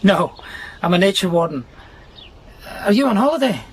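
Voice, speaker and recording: A man's voice: high-pitched, big pitch swings, normal speed, slightly rough, exaggerated voice. An actor playing a helpful, practical rural man with a Scottish accent in naturalistic radio drama, plain and matter-of-fact. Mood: neighbourly. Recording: faint outdoor and vehicle noise behind.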